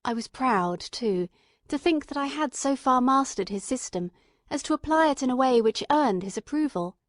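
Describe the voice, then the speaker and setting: A woman voices an earnest, eager male companion telling a detective story. The voice is fairly high, with careful, literate audiobook phrasing. The recording is dry, with hardly any room sound. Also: lively intonation, normal speed, no room sound. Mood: composed. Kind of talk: scripted audiobook narration.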